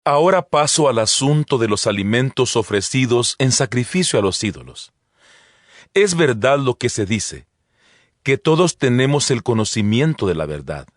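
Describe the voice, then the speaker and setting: An actor playing an earnest apostle addressing his congregation in a measured, emphatic, didactic dramatized scripture reading. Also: medium pitch, very animated, no room sound, studio quality, natural voice. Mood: earnest, instructive. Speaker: narrator of an audio Bible. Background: background music.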